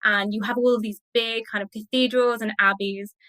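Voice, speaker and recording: Bright, high-voiced woman explaining informally. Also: fairly even intonation, normal speed, no room sound. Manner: chatty, colloquial, explaining in loose lists. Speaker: academic guest, literature researcher. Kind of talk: informal explanatory interview talk.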